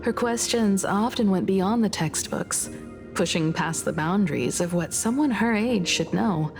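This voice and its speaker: A woman playing a poised, gentle, scholarly young woman in a video game, speaking in a measured, articulate, reflective way. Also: mid-range voice, animated, gravelly, soft background music. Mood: wistful, reflective. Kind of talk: video game story dialogue.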